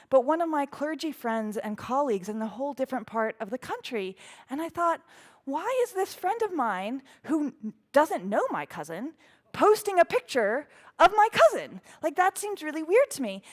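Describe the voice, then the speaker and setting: A woman priest in her thirties from the Houston area, giving a chatty storytelling talk with fillers and asides. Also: high voice. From United States (Houston area). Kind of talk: storytelling talk with audience quiz.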